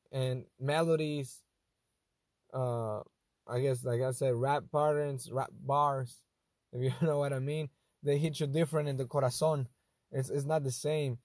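A young man with a Spanish accent, English not his first language, hosting a casual monologue in a thoughtful, halting way that often restarts phrases. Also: on the high side, dark tone, dry recording. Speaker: host.

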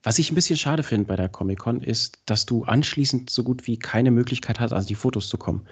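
A man hosting a podcast in casual chat, with a cold, chatty and filling pauses. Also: mid-range voice, breathy edge. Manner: chatty, many fillers. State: has a cold. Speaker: podcast host. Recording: dull lo-fi sound.

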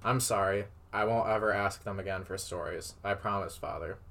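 A man's voice: on the low side, normal speed, creaky, a bit bright. A man reading the part of an eager, obedient young boy prince in a story, earnest and childlike. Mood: contrite, timid.

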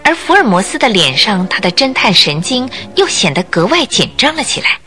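Bright, animated woman narrator. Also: fairly high pitch, brisk, soft background music. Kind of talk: dramatized story narration for radio drama.

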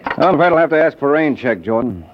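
An actor playing a courteous, businesslike American private detective in an old radio crime drama. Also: male voice, medium pitch, very animated, fast talker, dull audio, noisy background, natural voice. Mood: apologetic.

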